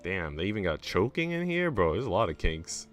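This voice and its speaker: Very deep, smooth-voiced man chatting casually. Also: very animated, brisk. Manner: slangy, rambling. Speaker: streamer. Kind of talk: casual chat while reading a visual novel on stream. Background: game music.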